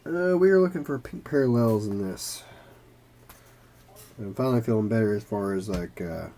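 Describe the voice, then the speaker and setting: A man's voice: lowish voice, animated, mellow, a little hiss or hum. A man hosting a trading-card opening video, still a bit congested from bronchitis, casually reading out player names as he opens packs. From Kentucky. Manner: unhurried, reads player names as cards flip. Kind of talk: casual card-ripping commentary.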